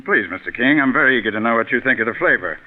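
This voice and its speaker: Man playing an eager, fawning businessman, very animated. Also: mid-range voice, racing, natural voice. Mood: jovial, fawning. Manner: effusive, chuckling, slightly hesitant. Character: eager, fawning, jovial businessman and programme sponsor. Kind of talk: 1940s radio mystery acting. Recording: tinny vintage radio recording.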